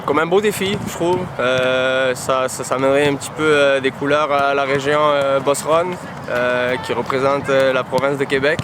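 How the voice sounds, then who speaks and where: A man's voice: medium pitch, steady tone, moderate pace. French man with hesitant speech outdoors. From France. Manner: hesitant, many fillers. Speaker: soccer player trying out. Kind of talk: outdoor interview. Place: outdoors by a soccer field. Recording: noisy outdoor background.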